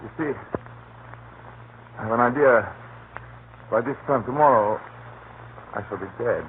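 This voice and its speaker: A man plays a hunted, secretive little informant in a 1930s radio thriller. He sounds frightened and pleading, with a hushed, tense delivery at a middling pitch. The old recording is muffled and narrow, with faint hiss. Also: a little slow, lightly acted. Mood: anxious pleading. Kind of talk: 1930s radio drama acting.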